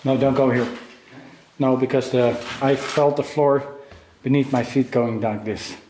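A middle-aged man who is not an English speaker, talking in a halting way and thinking aloud as he walks through an abandoned house on a handheld camera mic. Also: mid-range voice, steady tone, slight background noise. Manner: halting, thinking aloud, drops to a hushed whisper at times. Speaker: urban explorer host. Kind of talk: casual walkthrough commentary. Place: large abandoned house, indoors. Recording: echoey empty rooms, music underneath.

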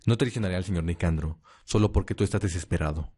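Guy doing a stern vampire guard for an audio drama, really deep n mellow, curt and kinda threatening. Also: quick pace, husky. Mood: scornful. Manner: curt, menacing, disdainful. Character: stern, loyal male vampire watchman, cold and scornful. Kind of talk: Spanish-language audio roleplay acting.

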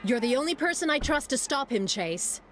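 An actress playing a composed, businesslike city mayor with an urgent message in a video game cutscene. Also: female voice, high-pitched, very animated, normal speed. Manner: businesslike, earnest, authoritative. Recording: faint music behind the voice.

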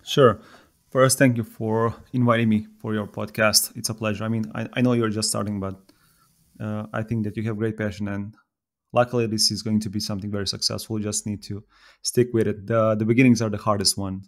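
A Serbian-accented man in his thirties with a lowish voice that stays flat and even. He talks as a podcast guest, pausing and restarting as he thinks. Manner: thoughtful, with many fillers and restarts. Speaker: podcast guest, software developer. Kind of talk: casual podcast conversation.

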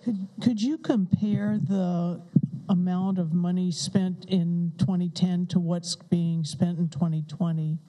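A woman's voice: lowish voice, fairly even intonation, slow, mellow. A woman in the audience at a public meeting, asking a question hesitantly and stumbling over her words, with a dull, muffled sound. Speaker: audience member.

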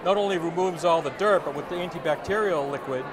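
Upbeat salesman in a loud crowd. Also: fast talker. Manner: upbeat, explanatory, pitching. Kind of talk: casual sales demonstration. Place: busy indoor trade show hall. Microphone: lapel mic. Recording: loud crowd chatter and noise.

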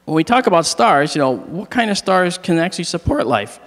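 Slightly hoarse man lecturing in a hall. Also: sits high, a little fast. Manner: animated, joking with the audience. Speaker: astronomy lecturer. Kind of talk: public lecture with jokes. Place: large hall, on stage. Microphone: handheld mic. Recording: long reverb of a large hall.

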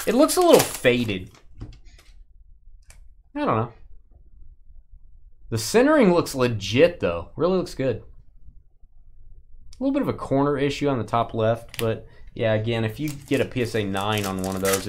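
A man's voice: mid-range voice, very animated, a little slow, long pauses, a little hiss or hum. A man with a Texas accent hosting a live-stream card break, chatting and joking with viewers as he opens packs. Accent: Texan.

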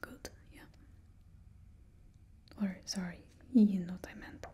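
A young woman in her twenties, voicing a blunt female stylist for supervillains with a put-on Russian accent. She whispers close to the mic in an ASMR roleplay, critical but gentle. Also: mid-range voice, flat delivery, slow pace, breathy edge. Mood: hushed. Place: indoors, small studio with painted backdrop. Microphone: close ASMR microphone.

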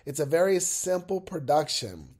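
A man with a stuffy head cold hosts a motivational podcast from his bed. His voice sits a little high for a man yet sounds mellow and warm. He talks in an upbeat, encouraging way, speaking straight to listeners through a laptop mic. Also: very animated, moderate pace. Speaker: podcast host. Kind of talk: unscripted motivational podcast monologue. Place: at home, in bed.

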